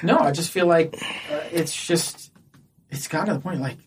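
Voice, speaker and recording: A man's voice: on the high side, normal speed. A man chats casually as a guest on a podcast. He gripes in a blunt way and swears without fuss. Faint noise and small clicks sit behind his voice.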